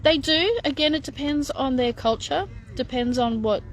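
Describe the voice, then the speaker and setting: A woman's voice: high voice, normal speed, background noise. A middle-aged woman chatting frankly as she answers viewer questions, with lots of fillers and restarts. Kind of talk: casual live Q&A.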